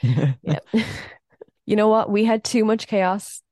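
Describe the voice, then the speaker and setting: Chatty podcast woman, voice a bit deep. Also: lively intonation, warm tone, no room sound, studio quality. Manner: chatty, quick, giggly. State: voice a bit deeper than usual after a night out. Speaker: podcast co-host. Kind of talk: casual chat. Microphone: podcast microphone.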